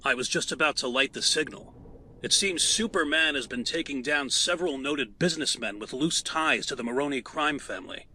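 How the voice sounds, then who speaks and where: Man playing very bright-voiced, frank crime fighter. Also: medium pitch, fairly quick, slight background noise. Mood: matter-of-fact. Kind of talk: audio drama acting.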